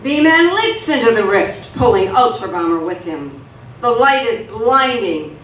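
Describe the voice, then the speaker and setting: A woman's voice: a little high, very animated, a little slow, fairly bright tone. A woman reading scripted narration for a live radio theatre drama. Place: stage performance in a roomy hall. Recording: echoey hall, distant mic, noisy.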